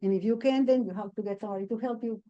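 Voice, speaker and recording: A woman real estate agent on a panel, talking in a lively, animated way and laughing through her stories. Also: a little high, rapid-fire, muffled recording. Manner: lively and animated, laughs while telling anecdotes. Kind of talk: panel discussion.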